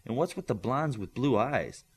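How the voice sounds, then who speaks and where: A man's voice: mid-range voice, sing-song, moderate pace, slightly rough. A man narrating a scripted fiction audiobook, reading a story aloud.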